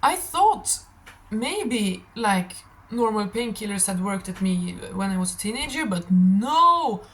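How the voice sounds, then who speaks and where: A young woman, non-native English speaker, with a slightly raspy voice, chatting casually and telling stories in a scattered, animated way as she hosts a tarot reading. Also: mid-range voice, slow. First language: not English. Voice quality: a little raspy. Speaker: tarot reader hosting a stream. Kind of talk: casual rambling monologue.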